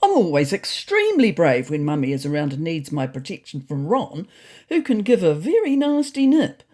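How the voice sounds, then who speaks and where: Woman as a prim, neurotic ginger cat, slightly husky. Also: low voice, huge pitch swings, moderate pace, mellow, exaggerated voice. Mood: prim, indignant. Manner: prim, indignant, self-important. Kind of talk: first-person comic storybook diary narration.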